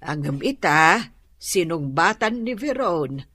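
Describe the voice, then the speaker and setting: A woman's voice: on the low side, big pitch swings, takes it slowly, sharp tone, husky, no echo, full character voice. A woman with an Ilocano accent playing a concerned, patient mother in a radio drama.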